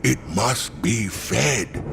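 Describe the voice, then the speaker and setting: An actor playing a huge, ancient, hungry stone creature in a video game, speaking gravelly, stilted, formal lines. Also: male voice, on the low side, expressive, exaggerated voice. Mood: brooding. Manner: slow, stilted formal speech. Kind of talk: video game character acting. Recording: music behind the voice.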